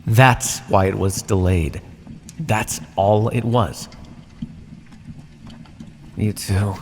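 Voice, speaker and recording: A man playing a sardonic vampire captor in an ASMR roleplay, speaking conversationally with hesitant pauses. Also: lowish voice, steady tone. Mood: defensive, exasperated. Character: teasing, menacing male vampire captor, centuries old scientist. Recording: long hall reverb, faint background noise.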